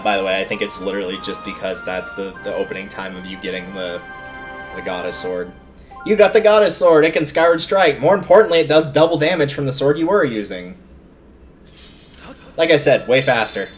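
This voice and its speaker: A man's voice: medium pitch, brisk, soft background music. A man giving casual, chatty, opinionated live commentary as he streams a video game, rambling off into asides. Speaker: game livestreamer.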